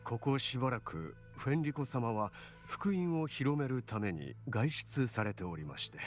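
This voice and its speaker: A man's voice: medium pitch, big pitch swings, quick pace, faint music behind, natural voice. An actor playing a polite, earnest, hesitant clergyman in a video game, speaking courteously. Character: polite, earnest, hesitant male church cleric.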